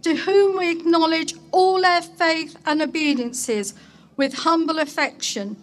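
A woman speaking as a mayor at an outdoor ceremony, reading a formal public address in a solemn, deliberate, declamatory manner. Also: very high voice. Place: outdoor market place ceremony.

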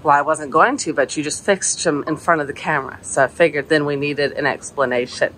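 A woman's voice: deep voice, fairly even intonation, fairly quick, fairly bright tone, slight background noise, rough recording. A woman in her thirties from the United States, vlogging to a phone camera on a ship deck and at a port terminal, chatty and explaining each step. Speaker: travel vlogger. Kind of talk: casual vlog to camera. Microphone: phone camera at arm's length.

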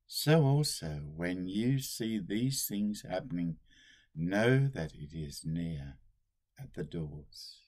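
An older man, a priest, giving a solemn sermon at a slow, deliberate pace, recorded on a webcam in a small room at home. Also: low voice, animated, darkish tone.

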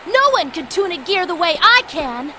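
A woman's voice: very high-pitched, sing-song, moderate pace, bright, forceful tone, a little hiss or hum, full character voice. A woman voicing a smug, mocking young female swallow mechanic for a video game cutscene, with game music behind. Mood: scornful. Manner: mocking, haughty.

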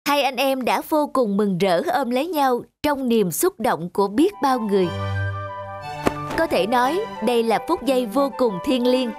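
A woman's voice: on the high side, expressive, normal speed, lightly acted. A woman voicing a formal, heartfelt television reporter in a cartoon, delivering a news report. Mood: solemn, warm. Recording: music behind the voice.

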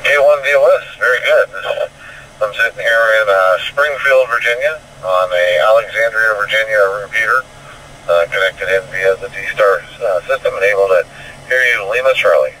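A man's voice: on the low side, moderate pace, bright, forceful tone, recorded from a distance. A man talking as an amateur radio operator in a two-way exchange over a digital radio link. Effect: digital radio transmission. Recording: radio speaker heard across noisy car.

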